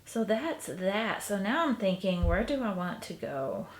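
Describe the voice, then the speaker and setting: A woman, sniffly, softly talking through a stitching project in a casual tutorial, often trailing into a half-whisper. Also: mid-range voice, sing-song, a little slow. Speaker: crafter showing a stitching project. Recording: echoey room recording.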